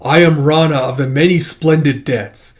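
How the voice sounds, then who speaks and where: A man's voice: on the high side, steady tone, normal speed, lightly acted. A man voicing a boastful, deadly masked huntress from a fantasy comic, haughty and theatrical in her taunts. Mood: boastful, taunting. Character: boastful, deadly masked female hunter from another world.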